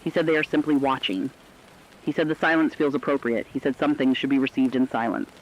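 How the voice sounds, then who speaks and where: A man reading a scripted, reflective monologue as a radio host, solemn, earnest and deliberate. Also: medium pitch, fairly even intonation. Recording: dull, band-limited radio-like sound.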